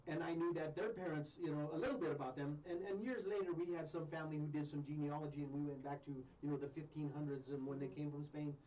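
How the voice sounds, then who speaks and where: A man's voice: a little high, fairly even intonation, fast talker, hardly any pauses, dark tone. Reflective male host, heard from a distance. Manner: reflective, gives many encouraging murmurs. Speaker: radio show host. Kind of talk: interview host conversation. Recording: distant, dull mic with faint hiss.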